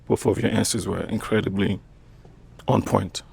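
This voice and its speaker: A man's voice: lowish voice, steady tone, moderate pace, vocal fry, darkish tone, husky. A young man from Chad with a French accent, a dating show contestant who talks hesitantly and restarts his sentences. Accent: Chadian French. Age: young adult. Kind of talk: casual chat.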